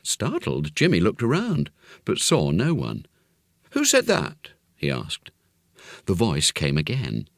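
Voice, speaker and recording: A man reading a children's story expressively and with measured phrasing. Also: sits low, wildly swooping pitch, creaky, dry recording, studio quality. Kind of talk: scripted children's story narration.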